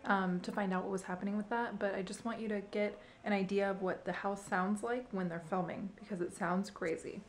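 A woman's voice: medium pitch, brisk, hardly any pauses, echoey room, a little hiss or hum. A young woman chatting casually and laughing a lot while filming at home on a handheld camera. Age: young adult. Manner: giggly, chatty, excitable. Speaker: vlog co-host. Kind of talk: casual chat. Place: home kitchen and living room, indoors.

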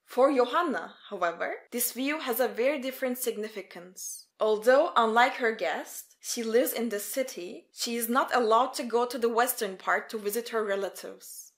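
A woman's voice: on the high side, normal speed, hardly any pauses. A woman reading scripted narration as a storyteller.

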